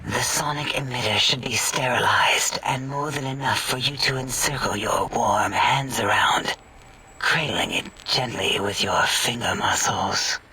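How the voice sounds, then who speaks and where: An actor voicing a sultry female robotic scientist in a video game, creepily fascinated, whispering in a breathy, clinical, lingering way. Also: mid-range voice, measured pace, sharp tone, recorded from a distance, low-quality recording. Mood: sensual, clinical. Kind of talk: video game character acting.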